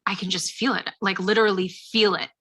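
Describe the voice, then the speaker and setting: A bright, forceful voice gives us a young woman anxious about pressure in her head. She vents in halting, emotional bursts, sharp at the edges. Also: medium pitch, steady tone, slightly rough. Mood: frustrated. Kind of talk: short comedy film acting.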